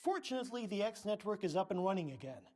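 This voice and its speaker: A man's voice: high-pitched, very animated, quick pace, darkish tone, slight background noise. A man playing a pompous scientist and research director in a video game, speaking formally, precisely and with condescension. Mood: measured, helpful. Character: pompous middle-aged male scientist, director of research center.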